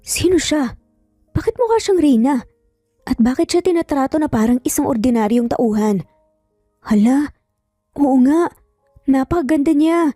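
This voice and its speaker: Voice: sits high, dark tone, no room sound, studio quality. A woman voicing astonished, gossipy and admiring party guests in an audio story.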